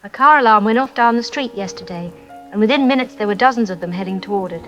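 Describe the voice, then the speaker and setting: A woman's voice: medium pitch, fast talker, fairly bright tone, natural voice. Actress doing scared trapped lady phoning a radio show, short bleak phrases, dull lofi sound, light music. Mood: shaken. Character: frightened, trapped female eyewitness calling a radio show. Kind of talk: phone-in testimony in an audio drama.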